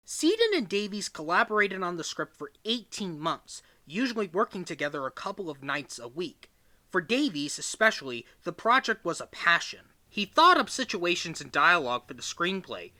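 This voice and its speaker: A man reading aloud a scripted history piece in an expressive, emphatic storytelling manner. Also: high voice. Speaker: reader of a book excerpt. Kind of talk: scripted read-aloud narration of a magazine-style history.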